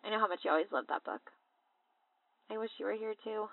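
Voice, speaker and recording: A woman's voice: medium pitch, expressive, fast, vocal fry, dry recording. Casting: bubbly young American sister, chatty and girlish, dry close sound squeezed through a phone, for audio drama. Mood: excited, happy. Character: gushing young American woman travelling in London, chatty little sister. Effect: phone voicemail filter. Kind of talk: audio drama, casual voice message. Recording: tinny phone-like line.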